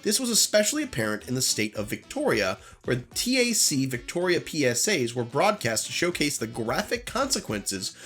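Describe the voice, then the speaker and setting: Male YouTube host, stuffy and nasal from flu, animated pitch, earnest scripted narration. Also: normal speed, few pauses. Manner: earnest, opinionated asides between read passages. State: recovering from flu. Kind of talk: reading a scripted commentary on lost media.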